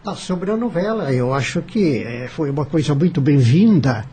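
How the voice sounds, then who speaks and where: A man's voice: on the high side, animated, normal speed, dark tone, slight background noise. A Brazilian man giving commentary as a radio panel guest, in formal wording with some hesitation.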